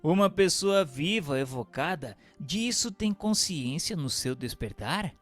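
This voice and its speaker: Male narrator for radio drama: fairly high, animated pitch, formal and deliberate question-reader. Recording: long reverberant hall sound. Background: music.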